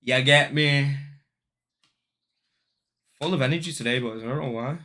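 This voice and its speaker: A young man on a casual live stream, talking in slang, swearing and joking with his viewers. His voice sits at an ordinary middle pitch with a bright, forceful edge. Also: unhurried. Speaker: day trading livestreamer.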